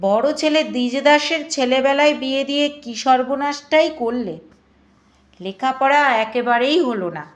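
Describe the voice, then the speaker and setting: A proud middle-aged matron of a landed house, voiced by a woman narrator, speaks with polite but firm evasion. Her high voice moves very slowly through the audiobook dialogue, in an echoing space. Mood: polite refusal. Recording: echoing room or reverb.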